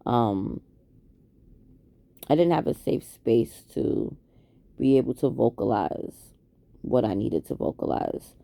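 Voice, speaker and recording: Gravelly New woman, hesitant. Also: a bass voice, lively intonation, slow, pauses often, mellow, dry recording. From Queens, New York. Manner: hesitant and reflective, many ums and restarts. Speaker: vlogger. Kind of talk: candid late-night confessional talk to camera.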